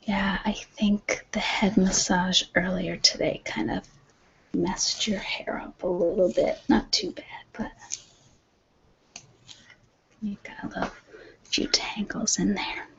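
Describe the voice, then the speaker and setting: A woman voicing a gentle retreat healer in a whispered ASMR roleplay, soft and reassuring. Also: medium pitch, fairly even intonation, measured pace, vocal fry, husky, dull audio, exaggerated voice. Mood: soothing, hushed. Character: gentle, soothing female retreat healer attending a client.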